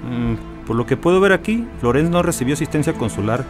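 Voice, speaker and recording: An actor playing a blunt, colloquial lawyer in an audio docudrama. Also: male voice, mid-range voice, expressive, a little fast, close to an everyday voice. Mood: excited, blunt. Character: blunt, informal male lawyer discovering legal flaws. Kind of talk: docudrama podcast acting. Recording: loud music behind the voice.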